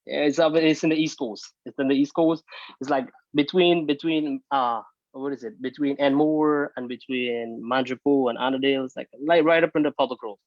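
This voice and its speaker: A man's voice: medium pitch, steady tone, normal speed, a little mellow, no room sound, dull audio. A young man from Guyana, a guest singer talking easygoing and laid-back over a phone video call.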